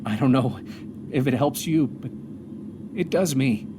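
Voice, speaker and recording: A man playing a stranded astronaut commander, reflective and hopeful, delivering a solemn, measured audio drama monologue with pauses. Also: mid-range voice, background noise, close to an everyday voice. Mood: reflective, resolute. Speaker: narrator and lead of an audio drama. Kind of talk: dramatic first-person monologue. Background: music.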